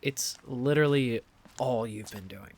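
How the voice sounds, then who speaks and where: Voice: mid-range voice, measured pace, a little mellow, close to an everyday voice. An actor playing a sarcastic, fed-up young student in an audio drama, blunt and sweary. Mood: guarded, fed up.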